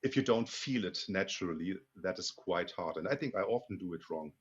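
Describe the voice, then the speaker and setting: German man, husky, speaking slowly. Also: sits low, lively intonation, dull audio. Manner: halting, many restarts and repeated words. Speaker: interview guest, Hungarian learner. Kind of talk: casual interview conversation.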